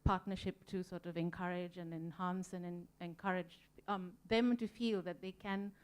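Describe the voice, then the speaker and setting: A woman's voice: lowish voice, fairly even intonation, normal speed, darkish tone, smooth. A woman from Sri Lanka speaking on a conference panel, earnest and reflective, with frequent ums as she thinks. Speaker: museum volunteer panelist. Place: conference room.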